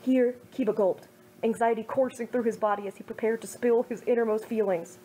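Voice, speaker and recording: A woman's voice: a little high, steady tone, brisk, warm tone, a little hiss or hum, rough recording. A woman reading fan fiction aloud as an amateur storyteller. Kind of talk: storytelling, read-aloud narration.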